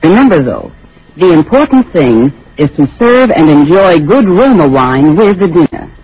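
Lilting, husky man in vintage radio ad. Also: on the high side, big pitch swings, normal speed, muffled recording. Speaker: advertisement speaker. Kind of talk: scripted 1940s radio endorsement.

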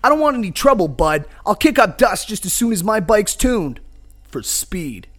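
A man's voice: high voice, sing-song, moderate pace, pitched above the performer's own voice. A man playing a cocky, brooding biker drifter in an amateur radio play parody, with dramatic hard-boiled delivery. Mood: cool, terse.

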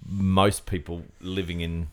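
Low-pitched male podcast co-host, rambling and agreeable. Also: level tone, takes it slowly. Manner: thinking aloud, frequent restarts, agreeable. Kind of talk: casual chat.

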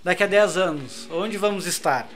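A man, co-hosting a beer podcast and explaining things to listeners in an animated, casual way. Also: high-pitched, moderate pace, over music. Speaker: podcast co-host. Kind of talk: casual chat over beer.

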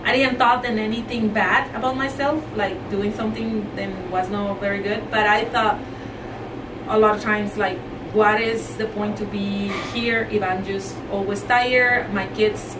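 A woman's voice: a little high, steady tone, normal speed. A woman from Mexico in her thirties who speaks English with a Spanish accent and is a guest on an interview. She talks about herself in a chatty way, laughs often, and sometimes searches for words. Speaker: guest, entrepreneur and mother. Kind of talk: casual interview talk. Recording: echoey room, mic at a distance, background noise.

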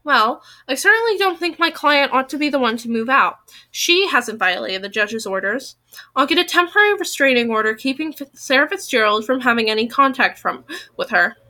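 A woman's voice: high-pitched, lively intonation, fairly quick, pushed and bright. A woman voicing a brash, defensive male lawyer in a story, clipped and argumentative. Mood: assertive.

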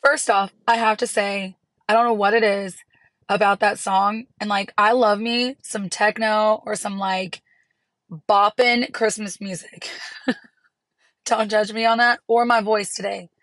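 Woman with a raspy voice, hoarse from laryngitis, earnest podcast host, dry close sound. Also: medium pitch, fairly even intonation, a little slow, fairly bright tone, dry recording. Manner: earnest, encouraging, rambling with restarts. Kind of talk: casual solo podcast talk. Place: parked car.

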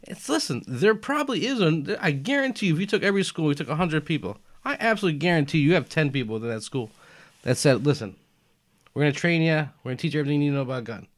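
A young man in casual conversation, emphatic and animated, dropping "man" and "dude" as he argues his point. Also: fairly high pitch, rapid-fire. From United States, family from Albania. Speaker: podcast guest. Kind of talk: casual chat.

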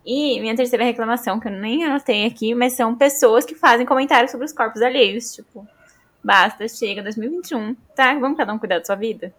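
Fast-talking Brazilian woman podcast host. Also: fairly high pitch, a bit bright. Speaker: podcast host, trained journalist. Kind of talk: casual chat.

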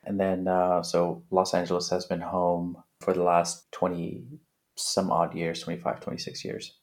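A man's voice: low voice, monotone, moderate pace. A man in his late thirties from Afghanistan, a podcast guest talking casually with many restarts and fillers. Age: late 30s. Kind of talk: casual interview chat.

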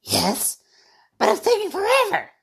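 A woman's voice: very high voice, huge pitch swings, a little slow, gravelly, heavily acted. A woman voicing a grumpy, impatient elderly sister who snaps at her siblings and calls them names in an amateur radio drama. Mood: cranky. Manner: snappish, bossy, name-calling. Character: grumpy eighty-something sister, rude and impatient.

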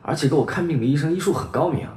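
A man's voice: a little high, very fast, warm tone, natural voice. A man playing a reassuring, practical friend in an audio drama, talking casually and persuasively. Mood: helpful, persuasive. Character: reassuring, practical male friend giving advice. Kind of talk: audio drama acting, conversational. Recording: roomy echo.

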